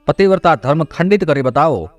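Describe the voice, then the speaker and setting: A man's voice: a little high, animated, a little fast, no echo, faint music behind, close to an everyday voice. A man voicing a proud, trusting royal servant who speaks confidently and emphatically, yet deferentially, in a moral tale. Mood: confident, insistent. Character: proud, trusting male royal servant, staking his life.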